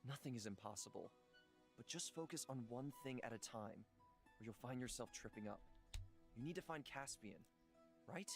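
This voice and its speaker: A man acting a calm, ancient gentle god. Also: mid-range voice, moderate pace, long pauses, natural voice. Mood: calm, advising. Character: gentle, wise, centuries-old male god in human body. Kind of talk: visual novel character reading. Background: music.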